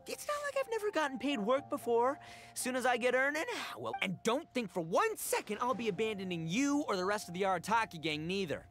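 A man's voice: high-pitched, fairly bright tone, full character voice. A man voicing a brash, dramatic young male oni gang boss with a bombastic, slangy delivery in a video game.

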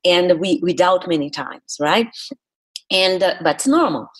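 Husky, low-voiced Brazilian woman. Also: lively intonation, unhurried. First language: Portuguese. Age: middle-aged. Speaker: podcast guest, speaker and coach. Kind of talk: conversational interview storytelling.

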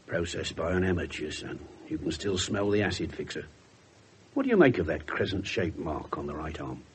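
Gruff English detective, swooping delivery. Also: male voice, sits low, very animated, moderate pace. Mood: musing. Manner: blunt, pressing questioner. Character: gruff, callous, wry widowed male police detective. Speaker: police inspector. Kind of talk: 1970s radio drama acting. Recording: noisy 1970s radio broadcast recording.

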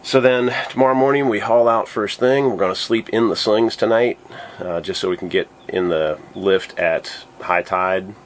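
A man's voice: lowish voice, moderate pace, low-quality recording. A man in his forties on his sailboat, casually talking through the week's plans for a vlog, recorded close on a handheld camera. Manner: relaxed, explaining plans. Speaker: sailing vlogger. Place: sailboat cabin and cockpit.

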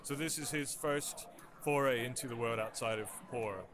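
Hesitant comic artist amid crowd noise. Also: male voice, medium pitch, normal speed. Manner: hesitant, searching for words with many ums. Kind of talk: casual interview at a convention. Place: convention floor. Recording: noisy convention crowd behind.

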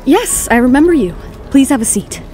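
Casting: actress as cool, cutting female model, high voice, audio drama with faint music. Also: expressive, moderate pace, mellow. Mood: composed. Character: cool, defiant woman model, composed and cutting.

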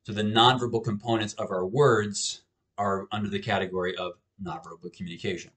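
A man's voice: on the low side, steady tone, fairly quick, a bit bright. A man in his thirties giving a recorded lecture from a small home office on a webcam, talking casually with frequent restarts. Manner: casual and conversational, with frequent restarts and repeated words. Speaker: professor.

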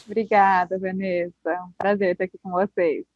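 A woman's voice: mid-range voice, level tone, fairly quick, no room sound. A woman in her forties with a Brazilian Portuguese accent, talking chattily as a guest and often adding tag questions. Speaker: company HR head guest. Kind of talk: casual interview talk. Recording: slightly dull lo-fi sound.

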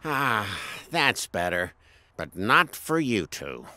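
A man's voice: on the low side, big pitch swings, slow, noisy background. A man voicing an earnest, heroic police officer in a children's cartoon. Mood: relieved, firm. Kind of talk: animated children's cartoon acting. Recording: music bed underneath.

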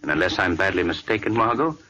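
An actor playing a calm, confident gentleman crime-fighter with a smooth, assured delivery, in 1940s radio acting. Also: sits low, quick pace, highs cut off, full character voice. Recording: old radio recording with faint hiss.

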